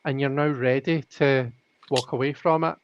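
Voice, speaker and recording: A man's voice: mid-range voice, steady tone, moderate pace, background noise. A man hosting a live tarot stream, thinking aloud in casual chat with many restarts. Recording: dull lo-fi audio.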